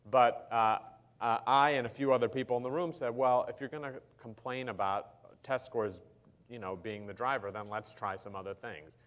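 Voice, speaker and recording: A man on a panel, explaining policy in a measured way with frequent ums and uhs. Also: medium pitch, fairly even intonation, a bit bright. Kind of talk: panel discussion answer.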